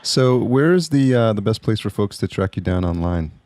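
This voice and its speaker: A man hosting a podcast interview, friendly and halting, often restarting his sentences. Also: fairly low pitch, lively intonation, darkish tone. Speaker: podcast host. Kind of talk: casual interview chat.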